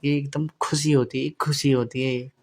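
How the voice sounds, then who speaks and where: A man's voice: mid-range voice, little pitch movement, moderate pace. A middle-aged man in a casual personal call, talking in a teasing way and often repeating his phrases.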